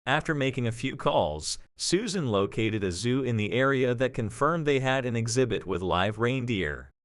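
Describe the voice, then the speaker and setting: A man narrating a scripted dramatic story in a measured, expressive storytelling manner. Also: mid-range voice, dry recording, studio quality.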